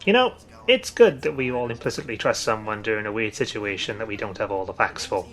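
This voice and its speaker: A man's voice: on the low side, animated, fairly quick, a bit bright. A man playing a dry, sarcastic teaser who enjoys trolling his friends in a comedy web drama. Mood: sardonic. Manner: dry, sarcastic, mock-formal. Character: sardonic trolling man who carries a stuffed monkey.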